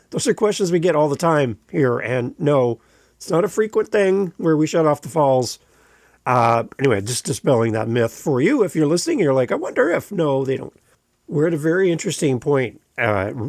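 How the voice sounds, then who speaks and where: A man's voice: medium pitch, a little mellow, no room sound, studio quality. Chatty Canadian man hosting a podcast. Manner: chatty and rambling, with light humor. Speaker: podcast host. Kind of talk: solo podcast monologue.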